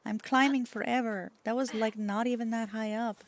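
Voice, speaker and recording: A woman's voice: fairly high pitch, steady tone, moderate pace. A woman VTuber streamer chatting casually with her chat, swearing often and poking fun at herself. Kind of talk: casual livestream chat, answering chat.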